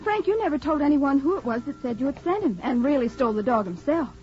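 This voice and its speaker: Inza, high-pitched animated college girl. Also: fast. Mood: earnest, admiring. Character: Inza, bright young college girlfriend of the hero. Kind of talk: 1940s radio drama acting. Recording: muffled old 1940s radio recording.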